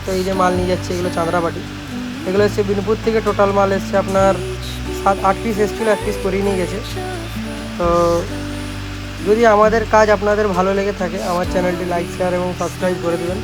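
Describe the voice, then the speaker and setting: Male vlogger, West Bengal Bengali accent, brisk flat delivery, informal workshop-host talk over background music. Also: high-pitched. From West Bengal, India. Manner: informal, repeats tag phrases. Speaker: speaker repair technician and channel host. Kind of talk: casual vlog narration showing repair work. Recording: music playing behind the voice.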